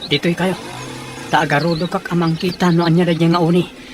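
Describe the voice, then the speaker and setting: A man's voice: fairly high pitch, level tone, normal speed, noisy background, lightly acted. A man playing a protective, cautious father leading his family in a radio drama. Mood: cautious, reassuring.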